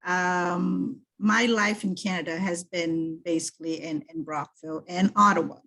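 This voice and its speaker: A woman's voice: fairly low pitch, steady tone, moderate pace, a bit bright. A middle-aged woman from Brazil, a guest talking in a casual interview, thoughtful and full of restarts. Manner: thoughtful, with frequent restarts and fillers. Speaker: guest, French teacher and PhD candidate.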